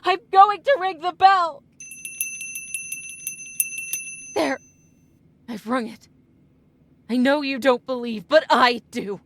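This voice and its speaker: A woman's voice: sits high, lively intonation, a little slow, a bit bright, a little hiss or hum, heavily acted. A woman acting a desperate, grieving middle-aged mother in an old-time radio drama, pleading and melodramatic. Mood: desperate, defiant. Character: desperate grieving mother clinging to supernatural hope. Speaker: actor in an audio drama.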